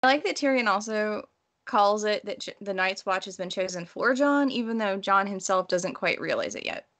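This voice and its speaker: A woman's voice: mid-range voice, animated, moderate pace, fairly bright tone. A woman hosting a podcast, talking casually and informally about books. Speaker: podcast host. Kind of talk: casual book discussion.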